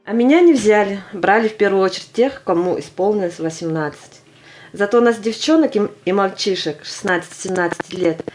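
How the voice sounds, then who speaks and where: A woman's voice: fairly low pitch, darkish tone, a little hiss or hum, natural voice. An actress playing a naive, earnest seventeen-year-old girl who volunteers for war, in a theatrical audio drama. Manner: earnest, stubbornly keen. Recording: music under the voice.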